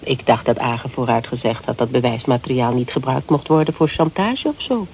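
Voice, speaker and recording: A woman's voice: rumbling low, brisk, a little mellow. Tough, curt woman detective, noisy recording. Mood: brisk, cool. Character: tough, curt, cool-headed female detective. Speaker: radio drama actress. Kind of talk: Dutch radio play acting. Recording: noisy radio play with background ambience.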